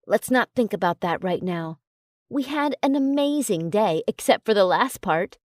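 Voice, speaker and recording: A woman voicing a young male werewolf alpha in an audiobook, speaking softly and coaxingly. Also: mid-range voice, lively intonation, moderate pace, no echo, studio quality, lightly acted. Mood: tender. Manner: soft, coaxing delivery.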